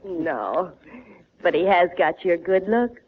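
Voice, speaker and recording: An actress playing a sensible, gently chiding middle-aged housewife and mother in a noisy old 1940s radio sitcom recording. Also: on the low side, animated, slow pace, narrow, tinny audio. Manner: wry, gently reproving.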